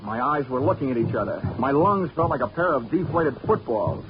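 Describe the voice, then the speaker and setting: A man's voice: mid-range voice, expressive, brisk, natural voice. An actor playing a hardboiled insurance investigator who narrates his own case in a 1940s radio drama, with dry wisecracks. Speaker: narrator and lead. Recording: dull 1940s radio broadcast with music.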